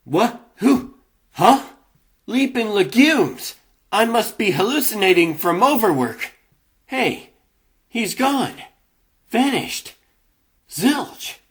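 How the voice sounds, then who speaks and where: A man's voice: high voice, big pitch swings, slow, pauses often, slightly rough, voice pushed up, exaggerated voice. A man voicing a weary, good-natured, wisecracking rabbit comic artist who chatters wryly to himself in a cartoon comic dub. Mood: startled.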